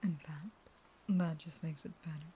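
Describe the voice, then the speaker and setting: A woman playing a caged, starving vampire in an intimate audio roleplay, half-whispering in a slow, taunting, pleading and unstable way. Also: deep voice, fairly even intonation, mellow, a little hiss or hum. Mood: hurt, angry.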